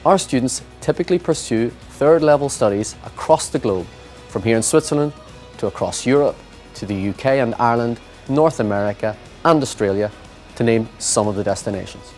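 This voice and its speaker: A man's voice: medium pitch, animated, a little mellow. A man giving formal, scripted narration for a school promotional video. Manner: formal, measured reading. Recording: music bed underneath.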